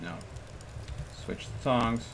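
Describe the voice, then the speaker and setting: A man's voice: medium pitch, slow pace. A man streaming a game with casual commentary, muttering to himself as he plays. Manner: muttering to himself while playing. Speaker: live streamer. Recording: clearly noisy background.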